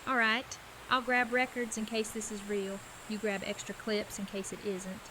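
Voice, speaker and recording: A woman's voice: medium pitch, flat delivery, normal speed, pushed and bright, slight background noise, natural voice, rough recording. A woman playing a resolute, wary survivor leader in an audio drama, firm, direct and businesslike. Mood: decisive.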